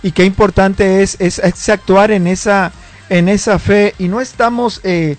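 A man's voice: sits high, fairly even intonation, unhurried, faint music behind. A male pastor talking on a church program, earnest and building his points with repeated words.

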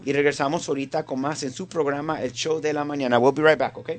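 A man with a Venezuelan accent hosting a live morning radio show, talking in a somewhat halting way. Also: on the high side, fairly even intonation. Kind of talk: live morning show talk with news report. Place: radio studio.

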